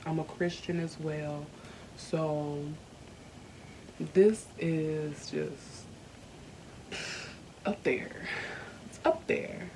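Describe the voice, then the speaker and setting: A young woman, speaking at home to her camera. Her voice sits low and dark, and her delivery is candid and earnest, slowed by feeling and full of small hesitations. A faint hiss runs under the recording. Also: low-quality recording. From Southern United States. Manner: earnest, candid, slowed by emotion, many ums. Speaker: YouTuber. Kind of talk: personal vlog storytelling. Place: indoors at home.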